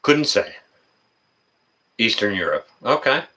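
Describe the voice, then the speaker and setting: A man in his fifties hosting a casual livestream chat, talking in a rambling, folksy, halting way. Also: medium pitch, big pitch swings, bright, forceful tone, poor audio. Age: 50s. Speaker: livestream host.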